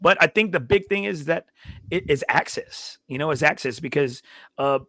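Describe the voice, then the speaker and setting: A man's voice: a little high, lively intonation, normal speed, bright, forceful tone, no echo. A man in his forties, a guest and coach, talking bluntly and casually with some swearing. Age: 40s. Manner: blunt, unfiltered, swears freely. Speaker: podcast guest. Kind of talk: casual chat.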